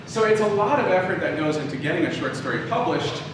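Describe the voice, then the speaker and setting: A man with a mid-pitched voice gives an informal, story-led lecture. He is conversational and emphatic. The recording is heavily echoey and picked up from a distance, with clear noise behind him. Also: expressive, fast. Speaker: audio drama producer giving a lecture. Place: live talk in a reverberant room.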